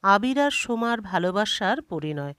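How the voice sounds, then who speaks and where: A woman's voice: medium pitch, big pitch swings, normal speed, fairly bright tone, clean tone, no echo, studio quality. A woman reading a scripted short story as a storyteller.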